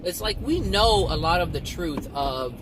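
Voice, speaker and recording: A fairly high-voiced man talks in an earnest, rambling way, circling back to repeat his points like a spiritual pep talk. He records from a moving car, with loud road and traffic noise under his voice. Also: lively intonation, unhurried. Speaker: vlogger. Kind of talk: motivational spiritual monologue.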